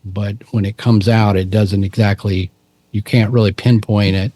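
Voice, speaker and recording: A middle-aged man from Texas with a low voice, chatting as a podcast guest in a relaxed, rambling way with frequent restarts. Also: normal speed, no echo. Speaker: podcast guest, musician and cryptid researcher. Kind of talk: casual interview storytelling.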